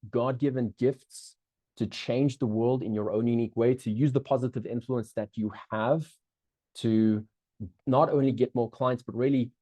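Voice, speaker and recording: South African male webinar host, middle-aged, mellow tone, dry close sound, chatty with frequent restarts. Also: mid-range voice, steady tone, normal speed, no echo. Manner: upbeat, chatty, many restarts, keeps checking in with the audience. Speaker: business coach hosting a live webinar. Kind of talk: live online masterclass presentation.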